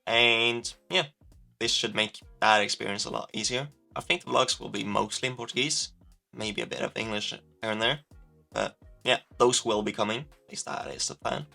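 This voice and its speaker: Gravelly, bright-voiced young man vlogging. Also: medium pitch, normal speed, faint music behind. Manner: relaxed, rambling, frequent fillers and self-corrections. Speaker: language-learning vlogger. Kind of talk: casual talking-to-camera update.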